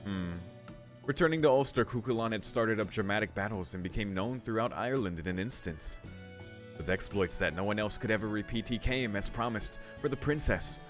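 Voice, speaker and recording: A man with a low voice narrates and comments on a game for his video. He talks casually, in rambling, slangy asides, with faint music playing behind him. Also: a little fast. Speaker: gaming video host. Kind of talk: let's play commentary and reading aloud.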